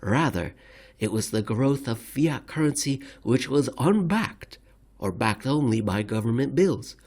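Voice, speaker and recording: Measured man reading aloud. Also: medium pitch, expressive, darkish tone, slightly rough. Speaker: reader, narrator. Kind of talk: ASMR reading of an economics commentary.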